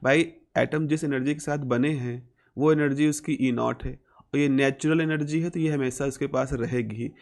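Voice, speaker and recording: A man's voice: medium pitch, steady tone, moderate pace, a little mellow. A man with an Indian accent lecturing as a physics teacher, explaining step by step and repeating key phrases.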